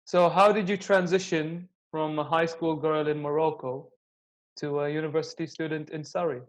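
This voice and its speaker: A man's voice: a little high, little pitch movement, moderate pace, low-quality recording. A young man hosting a casual interview, friendly and joking, laughing easily with his guest. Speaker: interview host.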